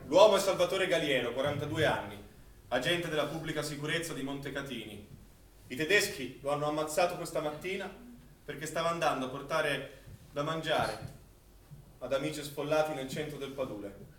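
An actor playing a solemn narrator who recounts wartime deaths in a deliberate, declamatory stage manner. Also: male voice, on the high side, a bit bright, distant mic, close to an everyday voice. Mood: grave, declamatory. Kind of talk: dramatic scripted stage narration. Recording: echoey, off-mic recording with faint noise.

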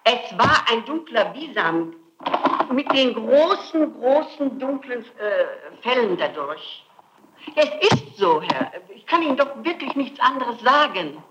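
A middle-aged woman answering questions in a recorded interrogation, insisting and denying in halting, repetitive phrases. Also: a little high, sing-song, dull audio. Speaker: suspect under interrogation. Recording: noisy 1960s archival tape.

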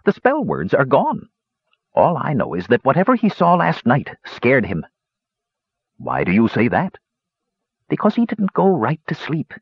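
A man's voice: mid-range voice, wildly swooping pitch, unhurried, long pauses, breathy edge, no room sound, highs cut off, voice pushed up, exaggerated voice. A man voicing a crotchety old male dwarf hermit who talks stubbornly and curtly. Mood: uneasy. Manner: stubborn, curt.

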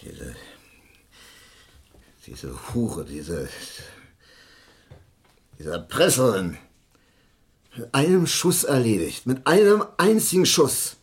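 A husky, slow-talking, bitter wreck of a man. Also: medium pitch, sing-song, full character voice. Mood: bitter hatred. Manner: slow, halting, repeats himself, swinging between mutter and outburst. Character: bitter, washed-up alcoholic theatre director, self-loathing cynical man. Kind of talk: German radio crime drama acting.

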